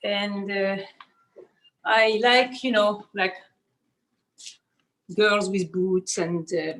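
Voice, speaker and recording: A woman's voice: medium pitch, fairly even intonation, very slow, a bit bright, low-quality recording. A woman from Switzerland gives an informal explanatory studio tour as an artist and costume designer, often searching for words and adding "you know".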